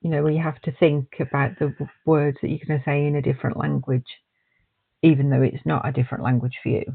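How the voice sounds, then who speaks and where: A man talks in a gentle, questioning way, supportive as he listens in a casual conversation. His voice is gravelly, husky and slightly high. The sound is dry and narrow, like a phone line. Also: flat delivery, quick pace, no room sound.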